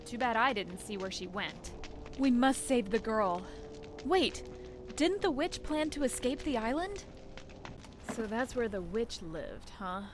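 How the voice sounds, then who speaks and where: An actress voicing a plucky, eager young daughter in a video game adventure, earnest and excitable. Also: female voice, on the high side, a little slow, background noise. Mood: groggy, indignant. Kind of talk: video game character acting.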